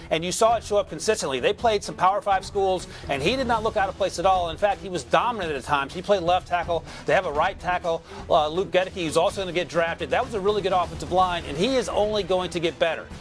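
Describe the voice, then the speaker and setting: A man breaking down draft picks in a studio sports show, energetic with wry asides. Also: high-pitched, quick pace, hardly any pauses, faint music behind, low-quality recording. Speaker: draft analyst.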